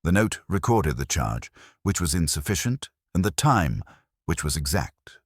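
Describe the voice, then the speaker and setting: Very deep-voiced male literary narrator. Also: sing-song, unhurried, slightly rough, dry recording, clean studio sound. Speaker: audiobook narrator. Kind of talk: scripted literary narration of a detective story.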